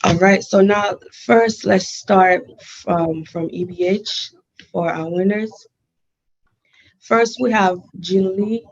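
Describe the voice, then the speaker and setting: Woman, native Haitian Creole speaker, unhurried, dull lo-fi meeting feed. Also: medium pitch, darkish tone. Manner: careful, halting non-native English. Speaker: GameSense advisor. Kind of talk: prepared meeting presentation.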